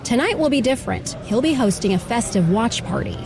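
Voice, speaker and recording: A woman's voice: on the high side, lively intonation, a little fast, gravelly. American woman reporter, music behind. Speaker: radio news reporter. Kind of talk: scripted news narration.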